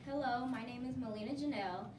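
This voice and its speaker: A woman's voice: fairly high pitch, quick pace. A woman new to acting, introducing herself informally as a member of a cast. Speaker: first-time amateur stage actor. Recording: echoey noisy room, distant mic.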